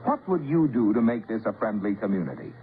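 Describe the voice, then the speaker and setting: Tinny old-radio man, animated public service announcer. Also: lowish voice, fairly quick. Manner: rhetorical, earnest address to the listener. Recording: tinny, faintly noisy old radio broadcast.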